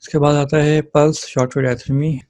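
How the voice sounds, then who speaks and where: A man gives an informal lecture as a physiotherapy teacher, in a mellow, relaxed voice that stays very even in pitch. He explains step by step, drops in English terms and adds short check-in questions. The sound is dry, with almost no room in it. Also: brisk, no echo.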